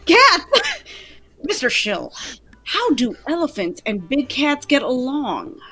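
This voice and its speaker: Woman playing a bright, brisk police detective. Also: high-pitched, very animated, slight background noise, exaggerated voice. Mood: inquiring. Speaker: amateur voice actor in live table read. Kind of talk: old-time radio drama acting.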